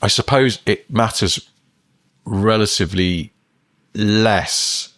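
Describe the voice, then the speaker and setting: Low-voiced man musing in casual chat. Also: slow, fairly bright tone, no room sound, studio quality. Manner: musing, rambling, sort-of hedging. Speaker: podcast co-host.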